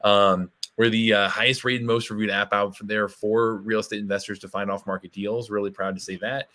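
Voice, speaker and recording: A man hosting a live online training in a fairly low voice. He sounds a little hoarse and speaks in a promotional way, padding his sentences with ums and you-knows. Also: flat delivery, a little fast, pushed and bright. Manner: promotional, filler-heavy. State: slightly hoarse. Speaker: co-host.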